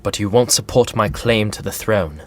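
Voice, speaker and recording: A man's voice: fairly low pitch, normal speed, close to an everyday voice. A man playing a stressed, sarcastic young prince in a modern audio drama, dryly delivered and stammering when flustered. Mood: bitter, subdued. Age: young adult.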